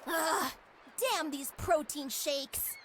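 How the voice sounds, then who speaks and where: Sarcastic little female critter sidekick, squeaky high, unhurried, dramatic, for cartoon game. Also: heavy background noise. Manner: dramatic, wisecracking. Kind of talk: cartoon adventure game acting.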